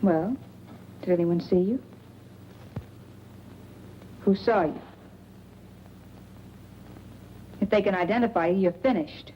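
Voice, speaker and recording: A woman's voice: mid-range voice, unhurried, close to an everyday voice. An actress playing a tough, shrewd, lovelorn woman with a knowing, sardonic way of questioning, in 1940s film noir style. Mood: knowing, possessive. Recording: muffled, noisy old film soundtrack.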